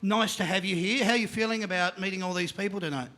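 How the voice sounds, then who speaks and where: A man with an Australian accent hosting a live panel show, energetic and jokey, calling out to a crowd in the venue. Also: high voice, fast.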